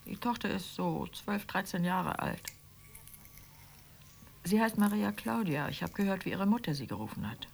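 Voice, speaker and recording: Radio play wife: chatty, middle-class would-be writer, low and creaky, slight background noise. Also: female voice, animated, moderate pace. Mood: chatty, gossiping. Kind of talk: radio play dialogue acting.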